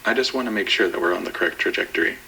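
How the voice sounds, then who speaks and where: A man plays a dutiful pilot commander aboard a spacecraft. His voice is very low with a forceful edge. He speaks calmly and procedurally, in a space drama manner. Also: racing, creaky, a little hiss or hum, exaggerated voice, low-quality recording. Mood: businesslike. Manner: procedural, matter-of-fact. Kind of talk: analog horror space audio drama.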